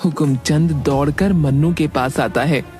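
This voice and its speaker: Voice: medium pitch, a little slow, a little mellow. A narrator reading a scripted cartoon story in a storytelling style. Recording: background music under voice.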